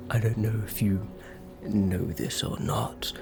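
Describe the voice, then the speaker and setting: Menacing horror hospital male actor. Gravelly, hushed, extremely slow ASMR delivery with music underneath. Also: on the low side, full character voice. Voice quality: gravelly whisper. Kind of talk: cinematic ASMR roleplay.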